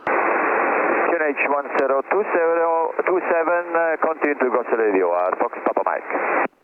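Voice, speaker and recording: A man's voice: on the high side, normal speed. A man speaking clipped air traffic phraseology over an aviation radio. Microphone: radio transmission. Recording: narrow, noisy aviation radio transmission.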